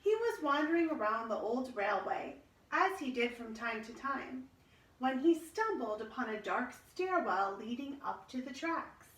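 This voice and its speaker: A woman reading a children's storybook aloud in an expressive, measured storytelling manner. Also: high voice. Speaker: storybook reader. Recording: roomy echo, distant microphone.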